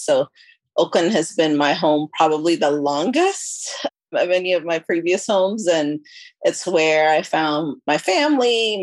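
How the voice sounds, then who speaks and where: Low-pitched woman giving interview answers, dry sound. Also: no echo. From born in Ethiopia, moved to the US at about eleven. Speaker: podcast guest, consulting firm chief executive.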